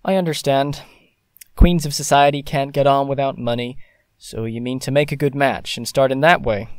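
An actor playing an idle, teasing, lovesick young gentleman in an audio drama. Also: mid-range voice, steady tone, moderate pace, clear, resonant tone, no echo, clean studio sound, close to an everyday voice. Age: young adult.

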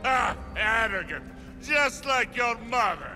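A man's voice: very high-pitched, lively intonation, slow pace, music in the background, exaggerated voice. An actor playing a brutal, foul-mouthed male gang boss in a video game, snarling profane threats. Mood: taunting. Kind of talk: video game cutscene acting. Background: game score.

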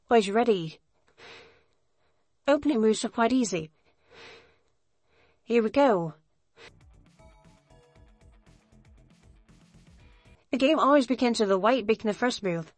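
Woman playing a sweet, high-voiced anime schoolgirl. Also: expressive, unhurried, pauses often, no room sound, soft background music. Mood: playful. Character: sweet, friendly schoolgirl chess partner. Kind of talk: anime visual novel character acting.

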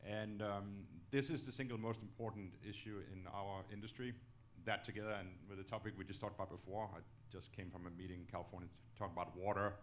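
Low-voiced, emphatic man from Denmark. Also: fairly even intonation, fast talker, a little hiss or hum. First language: Danish. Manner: emphatic, ends points with "okay?". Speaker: company executive, guest speaker. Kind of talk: on-stage interview, earnest advocacy. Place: auditorium stage, indoors. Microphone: handheld microphone.

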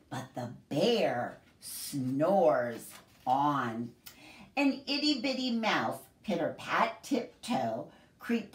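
A middle-aged woman reading a picture book aloud to young children in an expressive, sing-song, deliberate storyteller manner. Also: low voice. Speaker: children's library story time host. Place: small home room, indoors. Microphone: camera a few feet away. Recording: roomy echo, distant camera microphone.

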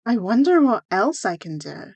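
A woman voicing a haughty, self-satisfied teenage girl in a comic fan dub, with a dismissive, deliberate delivery. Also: medium pitch, big pitch swings, vocal fry, darkish tone, slightly rough, no room sound, full character voice. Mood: smug.

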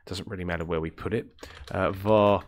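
Man, low-pitched, throaty sick voice, rambling coding tutorial, lots of ums. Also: steady tone, moderate pace. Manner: rambling, self-correcting, many ums and uhs. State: deep, throaty voice from illness. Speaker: game development tutorial host. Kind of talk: screen-recorded coding tutorial.

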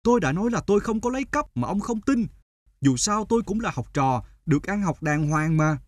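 An earnest young student from an animated folk tale. His voice is high and lively, with a dry, close sound. He argues plaintively, like someone wronged. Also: fairly quick, dry recording. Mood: indignant, defensive. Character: young male student, earnest, aggrieved, quick to protest.

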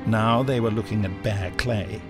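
A man's voice: fairly low pitch, fairly even intonation, moderate pace, over music. A man reading a scripted adventure story as a dramatic audiobook narrator.

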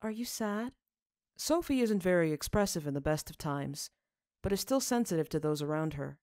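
Woman, low-pitched, story narrator, audiobook delivery, dry sound. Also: expressive, a little fast, warm tone, no room sound. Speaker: fan fiction narrator.

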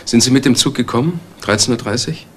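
An actor playing a brisk, sceptical police detective in a German crime radio drama. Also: male voice, medium pitch, slight background noise, close to an everyday voice.

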